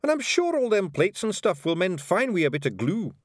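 Rob Anybody, a loud Scottish fairy. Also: male voice, high voice, very animated, a little fast, no room sound, clean studio sound, pitched above the performer's own voice. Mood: bluster. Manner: loud, boisterous, cheerful. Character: tiny brash male fairy warrior chief.

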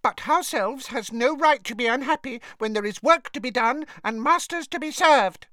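A storybook narrator voicing a humble, apologetic male house elf who squeaks. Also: moderate pace, pushed and bright, no echo, clean studio sound.

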